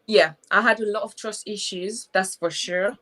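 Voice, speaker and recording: A woman's voice: medium pitch, moderate pace, fairly bright tone. A young woman from Haiti with a strong Haitian accent, a guest speaking reflectively in a casual interview over a video call. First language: Haitian Creole.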